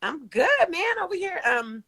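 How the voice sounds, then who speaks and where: A young woman from Kentucky, a guest telling lively stories with laughs. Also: high-pitched, moderate pace. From Kentucky, USA. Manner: animated, laughing storyteller. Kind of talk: casual interview.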